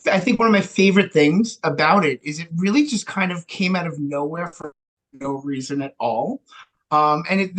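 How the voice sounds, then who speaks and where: A man's voice: on the high side, a bit bright, low-quality recording. A man chatting casually as a podcast guest, rambling and rephrasing his points as he goes. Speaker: podcast guest, radio theater co-founder. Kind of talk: casual chat.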